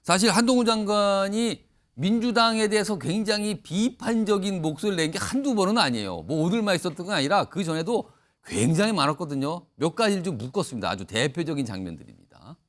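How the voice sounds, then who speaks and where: A man hosting a news panel show in a lively, conversational anchoring style. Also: high voice, brisk.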